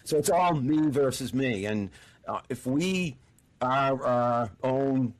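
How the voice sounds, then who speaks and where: A man's voice: mid-range voice, lively intonation, slow pace. A middle-aged man hosting a podcast, chatting with enthusiasm and often restarting and repeating words. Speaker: podcast host. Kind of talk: casual interview conversation.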